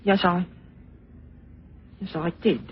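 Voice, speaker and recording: A man's voice: high voice, takes it slowly, a little hiss or hum. An actor playing a nervous, guilt-ridden young British man in an old radio drama, stammering and hesitating as he speaks. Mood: uneasy. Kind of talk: 1940s radio drama acting. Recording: muffled old radio recording.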